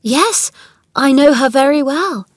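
Woman voicing an earnest, high-voiced young girl. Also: expressive, a little slow, dry recording, clean studio sound. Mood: gentle, friendly. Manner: pleading, coaxing. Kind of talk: children's storybook narration.

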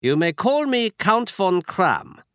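Male reader doing a towering masked king with a German accent, formal and stiff, voice pushed up high and swooping. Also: big pitch swings, takes it slowly, fairly bright tone, no echo, studio quality, exaggerated voice. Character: foreign nobleman, huge masked king, anxious. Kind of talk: audiobook character reading.

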